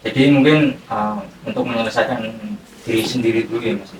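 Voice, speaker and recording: A man's voice: medium pitch, steady tone, fairly quick. A man, hosting a podcast with casual, chatty questions. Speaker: podcast host. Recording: echoey and distant, faint noise.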